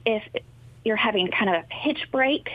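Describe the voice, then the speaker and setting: A woman's voice: a little high, moderate pace, no echo. Woman speech therapist on a phone line. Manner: friendly, informative, steady explaining. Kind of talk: explaining in a talk show interview. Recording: thin phone-line sound, dry.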